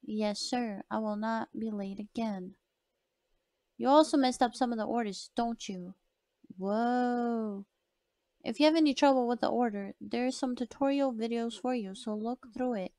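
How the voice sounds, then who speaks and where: A woman streamer with a soft voice, chatting casually as she plays a horror game and reads its lines aloud. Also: a little high, a little slow, no echo. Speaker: gaming streamer. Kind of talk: casual let's-play commentary.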